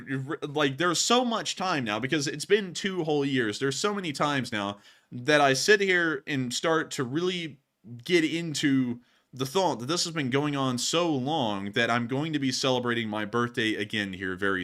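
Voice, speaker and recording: A man's voice: mid-range voice, a little slow, sharp tone. A man in his early twenties hosting live news commentary, talking casually to viewers in an emphatic, folksy way. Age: early 20s. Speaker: news channel host.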